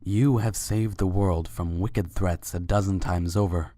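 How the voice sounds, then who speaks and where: Suave royal stallion for fantasy audio story , acted by a man: low, measured, formal, flattering. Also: dry recording. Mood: earnest, flattering. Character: suave, courtly winged unicorn Sultan, adult male pony. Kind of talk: fan fiction dramatic reading.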